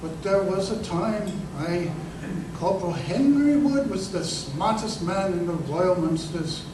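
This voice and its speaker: A man playing an embittered working-class ex-corporal in an old-time radio drama, speaking haltingly and emphatically. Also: high voice, lively intonation. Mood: wistful, bitter recollection. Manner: halting, repeats words, emphatic. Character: embittered crippled ex-corporal recalling his betrayal, earnest and working-class. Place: live stage performance in a museum. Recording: echoey live performance, distant mic, noisy room.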